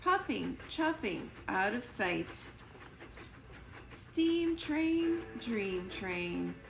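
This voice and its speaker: A woman reading a children's picture book aloud in an expressive storytime style, with deliberate, playful emphasis on the rhyming words. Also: a little high, gravelly. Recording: roomy echo with music behind. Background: music.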